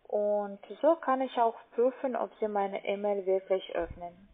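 Russian-accented woman on a tinny phone line. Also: mid-range voice. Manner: halting, non-native German phrasing. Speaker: call-centre broker, phone scammer. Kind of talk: unscripted phone argument. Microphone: phone call.

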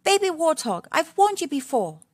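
A woman's voice: very high-pitched, sing-song, moderate pace, dry recording, studio quality, voice pushed up. A woman voicing a stern, proud mother warthog who scolds and takes offence, in a children's story. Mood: scolding.

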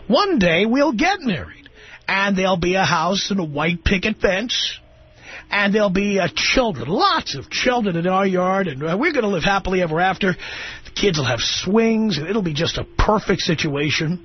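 A man's voice: high voice, animated, moderate pace, husky. A man on talk radio playing a syrupy boyfriend coaxing his pregnant girlfriend. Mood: sweet, coaxing. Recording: muffled radio broadcast.

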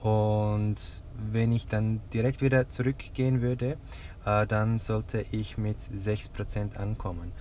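A man's voice: fairly low pitch, steady tone, a little slow, mellow. A young man talking casually to camera from a parked car, thinking aloud with many filler sounds. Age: young adult. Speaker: vlogger. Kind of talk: casual vlog talk to camera. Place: inside a car, parked in snow. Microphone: camera mounted on the dashboard. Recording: noisy car interior.

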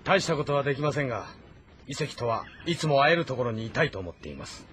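A man playing a shrewd, composed ancient Chinese military strategist in a game drama, speaking formally and in measured explanations. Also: medium pitch, sing-song, fairly bright tone, a little hiss or hum. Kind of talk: dramatic audio-novel acting in a video game.

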